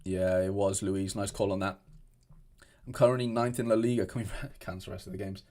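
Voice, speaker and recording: A man's voice: low-pitched, fairly even intonation, fairly quick, dark tone. A young man from Wales, chatting casually on a livestream at his desk, rambling with frequent restarts while answering viewer comments. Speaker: streamer. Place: indoor home room at a desk.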